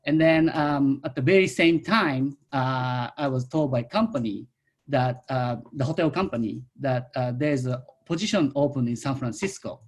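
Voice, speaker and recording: A man's voice: medium pitch, normal speed. Middle-aged man with a Japanese accent. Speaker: winemaker, guest speaker. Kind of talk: informal autobiographical talk on a video call. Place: online video call.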